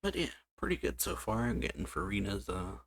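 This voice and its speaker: A woman giving casual, low-key let's play commentary in brief reactions as a game runs. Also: very animated, a little fast. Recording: loud game music over commentary.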